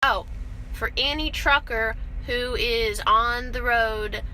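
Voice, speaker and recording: A woman's voice: on the high side, slow pace, poor audio. A young woman chatting casually and bluntly for a vlog, bantering with her co-host inside a truck cab. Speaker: team truck driver and video host. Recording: steady vehicle and road noise.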